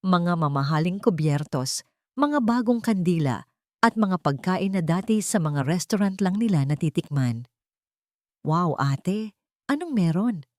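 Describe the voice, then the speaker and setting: A woman reading a scripted dramatic story in measured, suspenseful phrases. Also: fairly low pitch, animated, dry recording, clean studio sound.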